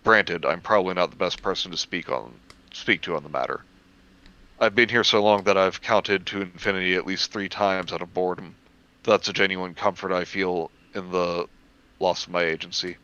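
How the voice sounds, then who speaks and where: A man reading a first-person horror story aloud in measured narration, stumbling over the occasional word. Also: low voice, steady tone, pushed and bright, lo-fi sound.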